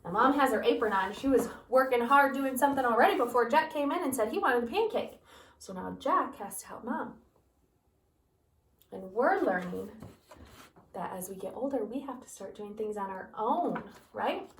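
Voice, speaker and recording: A woman who teaches kindergarten reads a picture book aloud, pausing to explain things gently to young children. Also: a little high, expressive, distant mic, a little hiss or hum. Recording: echoey room, voice off-mic.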